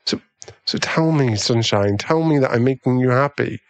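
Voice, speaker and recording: A man playing a doting, easily swayed millionaire boyfriend in an ASMR roleplay, pleading and halting, with breathy sighs. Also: medium pitch, dry recording, close to an everyday voice. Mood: anxious, pleading.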